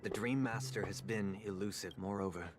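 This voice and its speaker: Low, composed nobleman played by an actor. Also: background noise, lightly acted. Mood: solemn. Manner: measured, courteous. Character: composed, formal young male head of a noble family. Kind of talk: video game voice acting.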